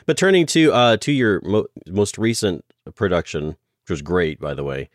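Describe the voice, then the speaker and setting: Podcast host guy, really deep voice, casual questions, dry studio-like sound. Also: very animated, moderate pace, no room sound. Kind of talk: casual interview.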